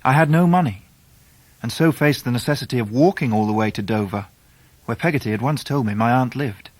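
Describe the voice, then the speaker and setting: An actor playing an earnest grown gentleman narrating his boyhood in a radio drama, measured and literary, with an English accent. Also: medium pitch, dry recording, close to an everyday voice, poor audio. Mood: resolved. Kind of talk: scripted first-person drama narration.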